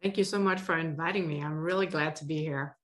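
A woman's voice: low voice, fairly even intonation, fast. A middle-aged woman from Denmark with a Danish accent, explaining her work earnestly as a podcast guest. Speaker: guest, education consultant. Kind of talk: podcast interview.

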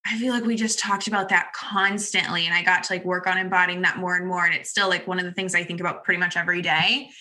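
A woman's voice: lowish voice, level tone, quick pace, few pauses, pushed and bright. A young adult woman chatting enthusiastically in a casual interview, leaning on "like" as she talks. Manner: chatty, enthusiastic, heavy use of "like". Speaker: interviewer and former client.

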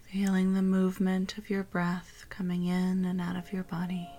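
A woman guiding a meditation in a soft, partly whispered voice. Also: fairly low pitch, completely flat delivery, normal speed, faint music behind. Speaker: meditation guide. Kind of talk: guided meditation.

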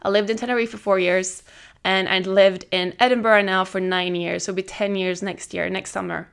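A woman, hosting a casual solo podcast and chatting excitedly with lots of "like" fillers. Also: medium pitch, normal speed, vocal fry, smooth. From Norway. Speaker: podcast host.

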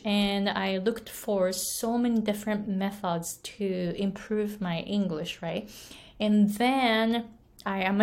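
A young adult woman from Japan with a Japanese accent, an English pronunciation coach speaking earnestly and chattily to camera, often tagging "right?" and laughing lightly. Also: medium pitch. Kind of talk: casual motivational talk to camera.

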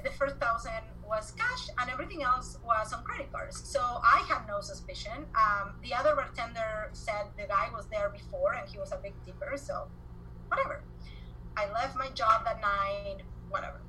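An Argentine woman in her forties talking as a podcast guest, in a bright and slightly high voice. She tells her stories with animated energy, doubling back and repeating words. A faint hiss of noise sits under the recording. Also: normal speed, rough recording. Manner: animated storyteller, repeats words when excited. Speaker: guest entrepreneur. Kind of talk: podcast interview.

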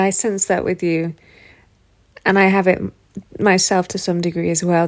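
A woman's voice: lowish voice, vocal fry, a little mellow. A woman hosting a podcast interview in a casual, affectionate way, calling her guest and listeners love. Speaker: podcast host. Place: rural home in the countryside.

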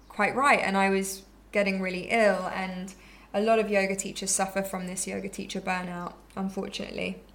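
A woman's voice: medium pitch, fairly bright tone. A woman in her thirties, a yoga teacher, telling her own story candidly and reflectively, with frequent ums and restarts. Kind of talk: personal storytelling monologue.

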